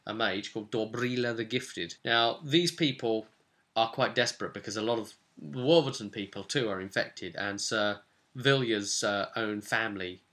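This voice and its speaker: Guy doing a casual rpg session recap, bright forward voice, animated storyteller. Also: fairly low pitch, moderate pace. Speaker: tabletop game master.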